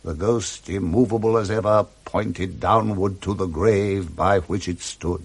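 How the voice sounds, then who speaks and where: A man with a British accent narrating a Victorian ghost story in a deliberate, theatrical radio drama style. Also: sits low, husky, heavily acted. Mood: grave, suspenseful.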